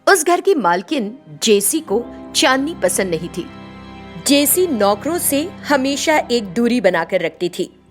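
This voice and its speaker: A woman giving a scripted narration of a moral story. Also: a little high.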